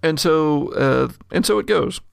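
Male game master, heavy vocal fry, halting improvised narration, very dry recording. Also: on the high side, lively intonation, no room sound.

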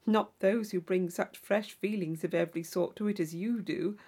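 A woman voicing a witty, urbane young gentleman in a classic novel audiobook, with a playful, mock-solemn, teasing manner. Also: medium pitch, steady tone, normal speed, husky. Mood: amused, gallant.

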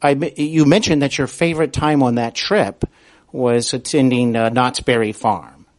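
A man hosting an interview in a conversational, courteous way, with deliberate, halting phrasing. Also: medium pitch, a little mellow. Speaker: podcast host, interviewer.

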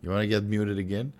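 A young man hosting a casual livestream Q&A, joking and swearing freely, with his voice turning hoarse and stuffy. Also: on the low side. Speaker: host answering viewer questions.

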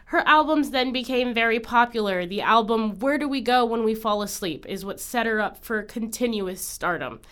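A woman's voice: a little high, a bit bright, smooth. A young woman, a student podcaster, giving scripted narration. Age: young adult.

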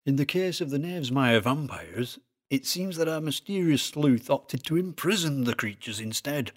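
A man's voice: medium pitch, animated, moderate pace, voice pushed up, close to an everyday voice. A man voicing Kane, a broad-voiced Lancastrian researcher explaining eerie lore to his friend. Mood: earnest explaining.

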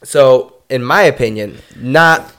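A man talks through fight results in a relaxed podcast chat, pausing often and filling gaps with ums. His voice is smooth and clear, at an average pitch. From United States. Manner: halting, many ums, reads results from notes.

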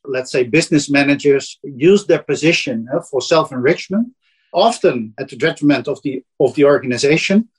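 A man with a Dutch accent speaks in a somewhat high, husky voice. He explains at length as an interview guest, thoughtful and often ending points with a questioning "eh?". He sounds middle-aged. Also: rough recording. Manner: thoughtful, with tag "eh?" and restarts. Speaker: guest professor of criminology.

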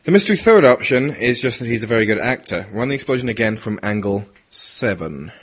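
A man's voice: medium pitch, animated, a little fast, husky, voice pushed up. A man playing a brash, sardonic male intelligence chief in an audio drama, bossy and teasing. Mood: brisk, commanding. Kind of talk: hobby audio drama acting.

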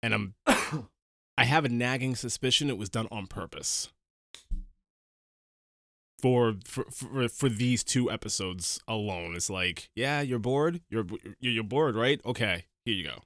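A man's voice: medium pitch, a little slow, a bit bright, no room sound, studio quality. A man co-hosting a podcast, giving blunt opinions in casual chat and repeating himself for emphasis. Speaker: podcast co-host.

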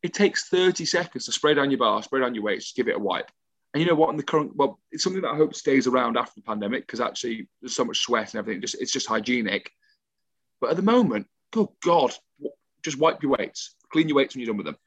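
A young English man co-hosting a podcast in casual chat, ranting with animated, emphatic energy. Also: mid-range voice, fast, no room sound. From England. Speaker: podcast co-host. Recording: dull dry home mic.

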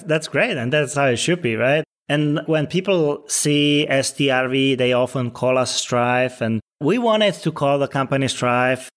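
A man in his thirties from the Czech Republic, a startup CEO answering interview questions in an earnest, explanatory way. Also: mid-range voice. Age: 30s.

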